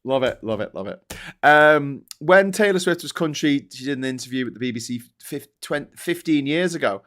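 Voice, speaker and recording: A man's voice: on the high side, lively intonation, moderate pace, a bit bright. A man from Birkenhead with a Merseyside accent, hosting a casual news chat podcast, chatty with frequent hesitant fillers. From Birkenhead, England. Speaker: podcast host.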